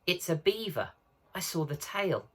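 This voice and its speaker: A man's voice: high-pitched, sing-song, unhurried, a bit bright, pitched above the performer's own voice, heavily acted. A man voicing an earnest, decisive boy, the eldest brother in a storybook.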